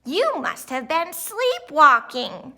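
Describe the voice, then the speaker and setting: A woman's voice: sits high, big pitch swings, takes it slowly, voice pushed up, full character voice. A woman voicing a cheerful, eager young girl groundhog in a children's storybook. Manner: bright, encouraging, eager.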